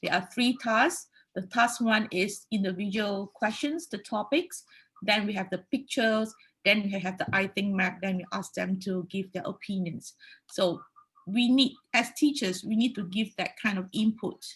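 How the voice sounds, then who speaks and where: A woman's voice: mid-range voice, normal speed, a bit bright, breathy edge, rough recording. A woman with a Malaysian accent, a teacher giving an explanatory presentation in an instructive way, often checking in with "okay" and "right". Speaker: high school English teacher giving a conference presentation.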